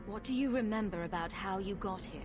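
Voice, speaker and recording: A woman's voice: mid-range voice, fairly quick, in a large hall, over music, lightly acted. An actress playing a bold, sarcastic female rogue adventurer in a video game, with a dry, wry and direct delivery. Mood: questioning.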